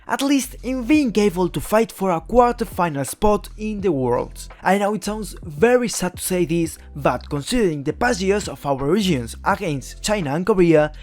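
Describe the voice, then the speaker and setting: A man's voice: high-pitched, lively intonation, normal speed, hardly any pauses, a little mellow. A young man from Spain with a Spanish accent, giving blunt, opinionated scripted commentary on esports teams as a YouTuber. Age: young adult.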